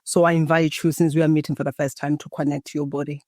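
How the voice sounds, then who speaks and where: A woman from Botswana, a Setswana native speaker, guesting on a podcast and telling her story in a calm, guiding way, as if leading a meditation. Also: sits low, quick pace, no room sound, clean studio sound. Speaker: guest, embodiment coach. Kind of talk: podcast interview, reflective storytelling.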